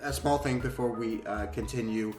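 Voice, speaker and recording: A young Danish man rambles casually in English, his accent clear, tossing in "man" as he chats. His voice sits at an ordinary pitch, the small room around him adds a roomy echo, and faint music hums behind. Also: fairly even intonation, moderate pace, darkish tone. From Denmark. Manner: chatty, rambling, frequent "man". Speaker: YouTuber. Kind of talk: casual reaction vlog. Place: small indoor room at a desk. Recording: echoey room.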